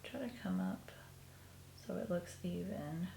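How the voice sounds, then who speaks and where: A woman, sniffly, softly talking through a stitching project in a casual tutorial, often trailing into a half-whisper. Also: on the low side, a little slow, gravelly, a little hiss or hum. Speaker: crafter showing a stitching project. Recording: echoey room recording.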